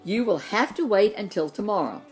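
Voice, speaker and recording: Plucky male storybook dinosaur, indignant and emphatic, acted by a woman narrator, lively pitch swings, music behind. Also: moderate pace, natural voice. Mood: scheming. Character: indignant young male dinosaur, fed up with cheating.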